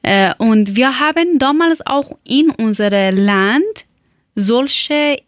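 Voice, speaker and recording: A young woman from Herat with a Dari Persian accent, speaking German as a second language and telling about her languages and country in a halting way, with many fillers and self-corrections. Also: high voice, animated, no echo, studio quality. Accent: Afghan Persian (Dari). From Afghanistan, Herat. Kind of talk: casual personal account.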